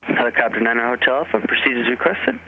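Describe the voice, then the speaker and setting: A man's voice: mid-range voice, expressive, quick pace, narrow, tinny audio. A man working as an air traffic controller, giving clipped procedural instructions over an aviation radio. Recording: aviation radio with faint engine noise.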